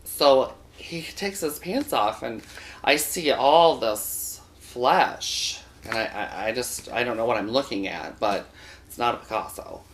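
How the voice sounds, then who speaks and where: A man's voice: mid-range voice, unhurried, a bit bright. A man hosting a comic storytelling show from a studio, telling a bawdy tale in a campy, sassy way and addressing viewers as boys and girls.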